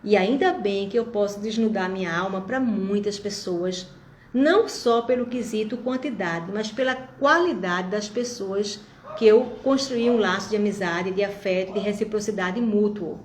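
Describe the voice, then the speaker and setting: A woman's voice: mid-range voice, normal speed, slight background noise. Woman, 50s, upbeat reflective vlogger speaking to camera in an echoey room. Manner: warm, encouraging, reflective, addresses viewers directly. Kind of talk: motivational monologue to viewers.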